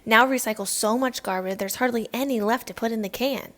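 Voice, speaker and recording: A woman reading a children's picture book aloud in an expressive storytelling style. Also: on the high side, brisk. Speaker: read-aloud narrator.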